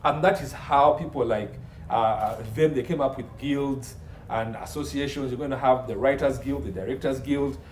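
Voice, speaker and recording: A man's voice: mid-range voice, moderate pace. A man explaining as an interview guest, emphatic, repeating phrases and posing rhetorical questions. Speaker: legal consultant, interview guest. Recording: echoey room with faint background noise.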